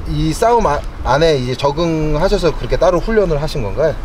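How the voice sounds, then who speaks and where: Upbeat male interviewer, animated delivery, outdoor handheld mic. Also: a little high, fairly quick, background noise. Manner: upbeat, filler-heavy questions. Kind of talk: post-fight interview. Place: outdoor poolside rooftop.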